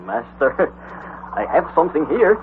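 An actor playing a quiet, loyal male guide and servant who speaks in a halting, deferential way. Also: a little high, very animated. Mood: humble, halting. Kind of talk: 1940s radio acting. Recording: tinny old radio broadcast with faint hiss.

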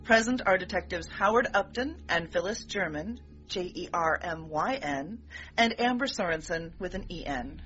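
An actress playing a measured, procedural, patient police detective in an audio drama. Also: female voice, lowish voice, animated, fairly bright tone. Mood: businesslike. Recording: dull lo-fi audio with music underneath.

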